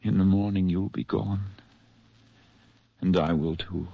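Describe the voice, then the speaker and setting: An actor playing an exhausted, lovelorn spy on the run in a 1940s radio drama, with a halting, earnest delivery and a husky voice. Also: male voice, sits low, steady tone, heavily acted. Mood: weary, yearning. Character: hunted English spy, exhausted, tender, in love. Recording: muffled, husky-sounding 1940s radio recording.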